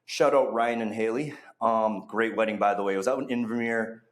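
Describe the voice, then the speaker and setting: A man's voice: fairly low pitch, fairly even intonation, fairly quick. Rambling man hosting, echoey room. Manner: rambling, many fillers and restarts. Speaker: podcast host. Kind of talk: casual chat. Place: bar under construction.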